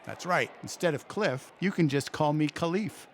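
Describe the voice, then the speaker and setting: An actor playing a folksy, cheerful, smug extremist militia leader in a satirical audio play, pitching his cause with glib, eager patter. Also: moderate pace, a little hiss or hum, natural voice. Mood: chummy, boastful.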